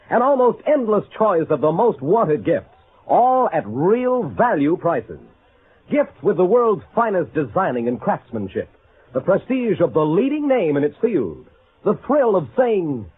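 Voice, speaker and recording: Emphatic male pitchman on tinny old radio. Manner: polished, emphatic sales pitch. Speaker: commercial announcer. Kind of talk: 1940s radio ad read. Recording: tinny old radio recording, faint noise.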